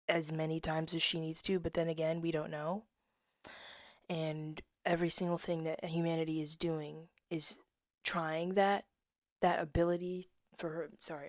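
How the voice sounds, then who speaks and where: Woman, 20s; low-pitched, hushed reader of her own verse, dry close mic. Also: no echo. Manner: soft-spoken, drifts into whispers, laughs at herself. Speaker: poet reading her own work. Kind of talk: casual reading of old poems with asides.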